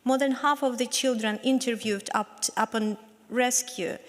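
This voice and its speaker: A woman from Ukraine, speaking English with a Ukrainian accent, giving an earnest, measured speech as a member of parliament. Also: a little high. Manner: earnest, measured, with some restarts. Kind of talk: prepared speech at a conference. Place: large parliament meeting hall. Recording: long reverb of a large hall.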